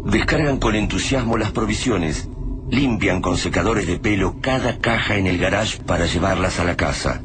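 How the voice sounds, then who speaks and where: A man's voice: low voice, moderate pace, close to an everyday voice. A man narrating a radio drama as a grave, ominous storyteller. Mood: solemn. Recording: clear noise and ambience behind.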